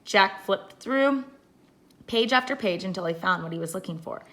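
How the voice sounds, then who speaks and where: A woman's voice: mid-range voice, animated, normal speed, a bit bright. Woman reading a children's storybook. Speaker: teacher reading aloud.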